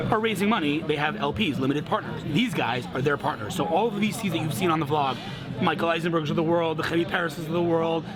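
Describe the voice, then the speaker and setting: A man hosting a casual interview in a restaurant, excitable and gushing, often talking over his guest. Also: fairly high pitch. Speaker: vlog host interviewing guests. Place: busy restaurant. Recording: noisy restaurant with loud music. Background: loud music and crowd chatter.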